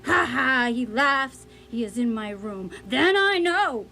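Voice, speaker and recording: A woman playing a feverish, mad, dying asylum inmate in a radio play, halting as she declaims devotion to a vampire master. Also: sits high, expressive, gravelly, sharp tone, a little hiss or hum, exaggerated voice. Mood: frenzied, rapturous. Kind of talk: radio theatre acting. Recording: radio play over music bed.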